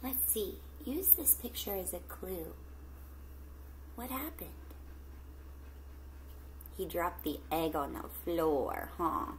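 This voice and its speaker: A middle-aged woman reads a children's book like a teacher in front of a class. She takes her time, stretching words expressively and putting questions to the kids. Her pitch is average, and there is light background noise from a home webcam recording. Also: poor audio. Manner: expressive, drawn-out storytelling with gentle questions to children. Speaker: teacher reading aloud to children. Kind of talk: children's picture book read-aloud with asides to young listeners. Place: small indoor room at home with plants.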